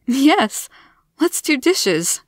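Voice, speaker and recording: A woman's voice: medium pitch, expressive, normal speed, dry recording, studio quality, lightly acted. A woman voicing a friendly, candid, easily tired woman with an earnest, emphatic manner in a storybook. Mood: grateful, wondering. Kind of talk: storybook character voice.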